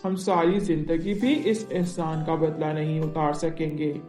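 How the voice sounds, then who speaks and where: Woman voicing a courteous young prince, slow and echoey. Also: deep voice, flat delivery, warm tone, pitched below the performer's own voice. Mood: grateful, earnest. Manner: formal, courteous, measured. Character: gracious, earnest young prince, soothing and grateful. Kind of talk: acted dialogue in an Urdu moral storybook tale. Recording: roomy echo, music underneath.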